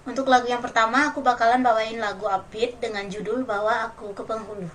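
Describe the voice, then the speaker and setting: Young-sounding high voiced woman from west sumatra introducing herself for an audition. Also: steady tone, fast, a bit bright, distant mic, a little hiss or hum. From West Sumatra, Indonesia. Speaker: singing audition contestant. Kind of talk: formal self-introduction to an audition.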